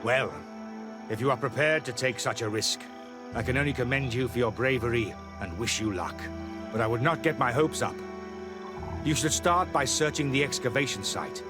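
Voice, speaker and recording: An actor voicing a fanatical, fearful, self-righteous priest in a video game, with a haughty, agitated and theatrical delivery. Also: male voice, medium pitch, moderate pace, close to an everyday voice. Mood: grim, wary. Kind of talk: dramatic video game acting. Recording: background music behind the voice.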